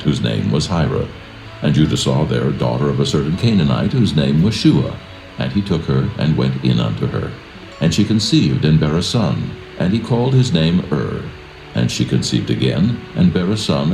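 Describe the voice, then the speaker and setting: A man narrating scripture in a solemn, measured and formal scripted style, with a reverent manner. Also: very deep voice, gravelly, a little mellow. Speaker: Bible narrator. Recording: roomy echo with music behind.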